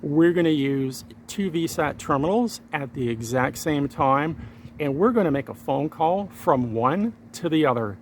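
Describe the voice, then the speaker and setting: A man's voice: on the high side, expressive, measured pace, slight background noise. A man presenting a casual hands-on tech demonstration, explaining technical steps plainly and informally to his viewers. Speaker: hobbyist presenter of a radio and satellite tech vlog.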